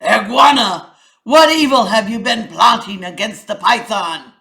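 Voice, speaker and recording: A woman voicing a male lion king in a storybook read-aloud, loud, accusing and declamatory. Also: mid-range voice, a little slow, vocal fry. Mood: stern, demanding. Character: booming male lion king judging his animals.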